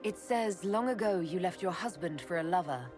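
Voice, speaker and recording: An actress playing a composed, determined young heroine in a fantasy video game, speaking in an earnest, plain way. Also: female voice, on the low side, animated, normal speed, lightly acted. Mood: composed, curious. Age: young adult. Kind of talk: dramatic video game acting. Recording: orchestral game music behind the voice.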